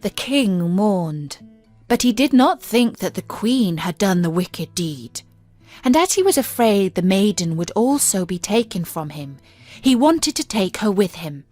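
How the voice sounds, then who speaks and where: A woman narrates a scripted fairy tale at a slow pace with music behind her. Her reading is measured and careful, in the manner of a storybook audiobook. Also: medium pitch, sing-song, a little mellow. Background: background music.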